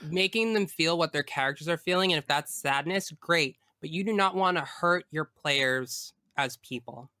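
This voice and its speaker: A man speaking as a guest in a casual interview, enthusiastic and emphatic in an expressive way. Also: fairly high pitch, moderate pace, few pauses, dry recording, clean studio sound. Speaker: tabletop game master. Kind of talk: casual interview chat about personal experience.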